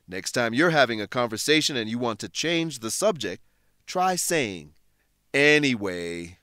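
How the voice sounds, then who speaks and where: A man narrating a scripted English lesson, explaining clearly and deliberately as a teacher. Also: mid-range voice, a bit bright, dry recording, clean studio sound.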